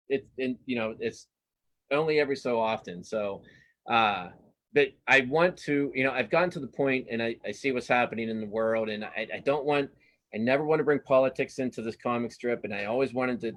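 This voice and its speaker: A middle-aged man speaking as an interviewed cartoonist in a casual, earnest way, often interrupting himself and starting over. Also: medium pitch. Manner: halting, self-interrupting, earnest.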